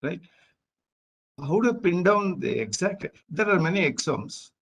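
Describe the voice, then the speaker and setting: A man's voice: high-pitched, big pitch swings, unhurried, slightly rough. A man asking a speaker short follow-up questions on a video call, with many "yeah" and "hmm" replies. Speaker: senior colleague asking questions.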